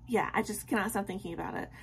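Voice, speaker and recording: A young woman with a tired, slightly scratchy voice talks casually to her viewers at bedtime, filling pauses with ums. She sits in a small bedroom close to the camera. A faint hiss of room noise sits under her voice. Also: mid-range voice, gravelly. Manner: rambling and friendly, with many ums and soft laughs. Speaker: vlogger. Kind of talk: casual late-night chat to camera.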